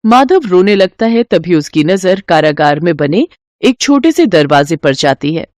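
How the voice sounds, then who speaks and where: A woman's voice: on the low side, sing-song, unhurried, darkish tone, dry recording, studio quality. A woman narrating a scripted children's moral story.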